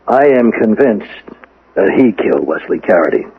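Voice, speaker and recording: A shrewd, folksy police lieutenant in a vintage radio mystery, slyly probing and hesitant. His gravelly voice rises and falls freely, on a dull old broadcast recording. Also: on the low side, very animated, normal speed, slight background noise. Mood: sly, musing. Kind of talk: old-time radio drama acting.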